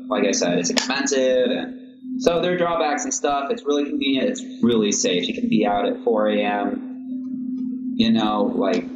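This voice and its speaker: A man's voice: lowish voice, moderate pace, over music. Laid-back young man from Singapore. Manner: easygoing, laughs often, rambling with restarts. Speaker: guest composer. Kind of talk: casual podcast interview. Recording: echoey room, dull lo-fi sound, audible noise.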